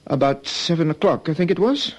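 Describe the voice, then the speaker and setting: An actor playing an evasive, defensive hotel owner under questioning, in an old radio detective drama. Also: male voice, a little high, lively intonation, fairly quick. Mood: guarded, irritable. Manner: defensive, indignant, hedging.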